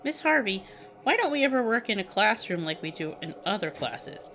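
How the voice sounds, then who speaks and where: A woman's voice: medium pitch, very animated, moderate pace, fairly bright tone, a little hiss or hum. A woman voicing an earnest young student who questions her teacher and then politely defers. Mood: puzzled.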